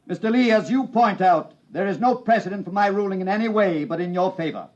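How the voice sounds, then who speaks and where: A high-pitched male judge, played by an actor, stern and pompous. He speaks with formal emphasis, in the manner of old-time radio drama. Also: a little fast, muffled recording, close to an everyday voice. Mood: grave, reluctant.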